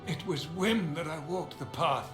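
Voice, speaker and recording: A man playing a wounded, dying chancellor in a fantasy game, earnest and halting, weak and short of breath. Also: on the high side, lightly acted. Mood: wondering, weak. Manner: halting, solemn, faltering. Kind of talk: dramatic fantasy game acting. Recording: roomy echo with music behind.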